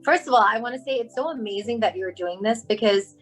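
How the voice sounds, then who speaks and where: A woman's voice: on the high side, fast talker, faint music behind. A young woman from Hong Kong, a makeup artist and brand founder, talking casually as a guest in an interview and laughing often. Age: young adult.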